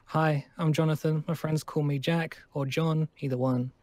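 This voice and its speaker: A man's voice: fairly high pitch, fairly even intonation, moderate pace, a little mellow, no echo, natural voice. A man playing a nervous, friendly boy in an improvised game roleplay, stammering and repeating words in bursts. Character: nervous, eager, friendly lone boy living in abandoned school.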